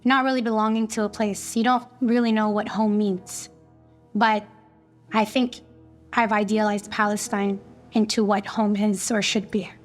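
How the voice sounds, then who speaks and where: Young woman, really flat even voice, thoughtful, music faint behind. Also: mid-range voice, measured pace. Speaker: daughter of the founder. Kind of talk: reflective documentary interview.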